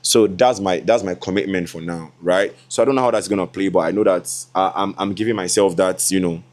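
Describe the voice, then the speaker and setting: A young Nigerian man in his twenties chats to his viewers in a loose, laughing vlog voice. His tone sits a little low for a man. Now and then he slips into Yoruba asides. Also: fairly even intonation, a little fast, a little mellow. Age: 20s. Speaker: content creator. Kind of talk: casual vlog talk to camera.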